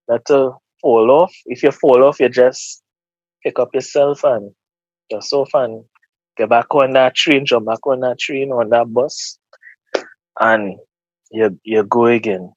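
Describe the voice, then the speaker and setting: Trinidadian man, mid pitch, earnest casual talk, dry muffled recording. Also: no room sound. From Trinidad and Tobago. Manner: repeats words while reaching for thoughts, earnest. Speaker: guest. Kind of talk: casual motivational interview.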